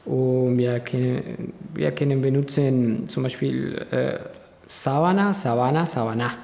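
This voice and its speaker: A Spanish-speaking music student from Latin America, answering interview questions in German with a Spanish accent and searching for words. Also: male voice, medium pitch, unhurried, vocal fry, a little mellow, echoey room, long reverb tail.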